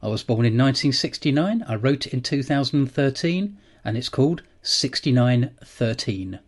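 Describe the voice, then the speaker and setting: A man's voice: medium pitch, expressive, normal speed. A man in his forties reading his own comic rhyming poems in a dry, matter-of-fact way. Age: 40s. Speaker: guest poet.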